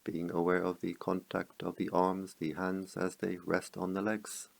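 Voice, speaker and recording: A man guiding a meditation as a teacher, speaking calmly in measured short phrases with long pauses. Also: low-pitched, gravelly. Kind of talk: guided meditation.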